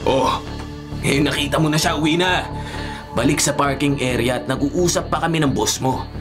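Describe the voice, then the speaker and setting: A man's voice: on the high side, normal speed, over music, natural voice. Man acting a cocky, taunting campus bully. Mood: aggressive, taunting. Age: young adult. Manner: taunting, arrogant. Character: cocky, pestering young male campus bully. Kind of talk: Filipino radio drama acting.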